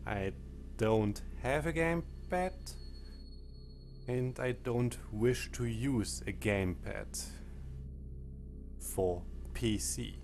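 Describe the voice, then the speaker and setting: A man whose first language is German, talking over a game menu in halting phrases that break off mid-sentence. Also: medium pitch, a little mellow. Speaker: let's play commentator. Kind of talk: game commentary with disclaimers. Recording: faint game menu music behind.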